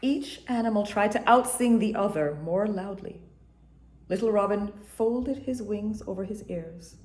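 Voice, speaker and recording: A woman reading a children's picture book aloud with an expressive storytelling manner. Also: medium pitch, a little hiss or hum. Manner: expressive, slow, storytelling delivery. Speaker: storybook reader. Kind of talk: children's read-aloud narration. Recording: echoey room.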